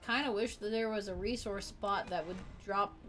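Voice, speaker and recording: A woman streaming a game, chatting in a relaxed way to her viewers and to the monsters she fights. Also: mid-range voice, normal speed, soft background music. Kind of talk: casual game stream chat.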